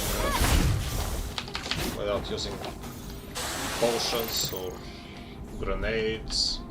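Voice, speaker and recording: A man from Bulgaria with a Bulgarian accent, chatting casually to his viewers while he plays a game on a live stream and rambles between pauses. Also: fairly low pitch. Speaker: gaming streamer. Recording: loud game music behind.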